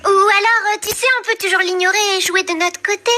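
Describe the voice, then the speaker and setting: A woman's voice: very high voice, steady tone, a little fast. A woman voicing a playful, coaxing young female pet animal in a cartoon, sweet in manner. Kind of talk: animated cartoon dub acting. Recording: loud music behind the voice.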